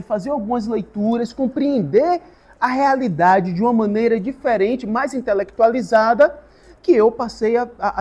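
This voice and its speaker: A man, a guest teacher giving a thoughtful, halting interview answer with many restarts. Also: very high-pitched, darkish tone. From Salvador, Brazil.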